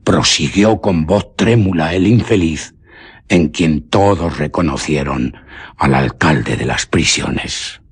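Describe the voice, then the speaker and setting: A man's voice: a bass voice, slow pace, husky. A man with a Castilian accent narrating a radio drama in a solemn, literary storytelling manner. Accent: Castilian Spanish. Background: faint music.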